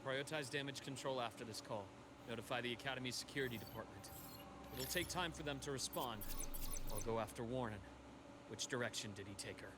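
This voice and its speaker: A man's voice: medium pitch, a little fast, natural voice. A man playing a composed, resolute doctor and fighter in a video game, speaking in a formal, clipped, controlled way. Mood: calm, businesslike. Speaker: game character voice actor. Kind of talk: scripted game voice acting. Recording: very noisy game stream capture.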